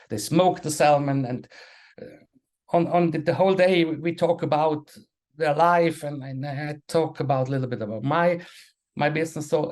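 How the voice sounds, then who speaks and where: A man, a panel guest speaking English as an in a halting way, restarting and repeating words. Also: a little high, a little mellow. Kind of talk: casual webinar talk.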